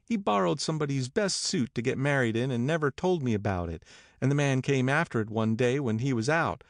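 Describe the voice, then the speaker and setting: A man's voice: medium pitch, lively intonation, brisk, dry recording, studio quality, voice pushed up, lightly acted. A man voicing a brassy, disillusioned married woman in an audiobook, emphatic and disdainful. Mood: indignant.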